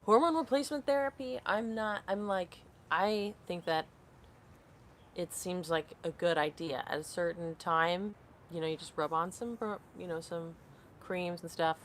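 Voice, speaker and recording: A woman's voice: fairly low pitch, measured pace, a little hiss or hum. Rambling woman in her thirties. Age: 30s. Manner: rambling, reads comments aloud and loses her train of thought. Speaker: skincare vlogger hosting a live stream. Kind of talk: casual live-stream chat, answering viewer comments.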